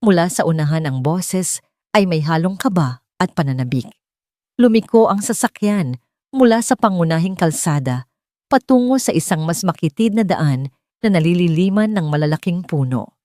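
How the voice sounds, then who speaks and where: Low-pitched Filipino woman narrating, dry sound. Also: animated, moderate pace, mellow, no echo. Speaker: story narrator. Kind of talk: scripted dramatic story narration.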